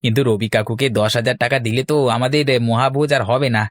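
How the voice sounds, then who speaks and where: A man voicing an excitable village fellow in a cartoon. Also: mid-range voice, fast talker, no echo, studio quality, natural voice. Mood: dejected. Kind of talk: Bengali cartoon voice acting.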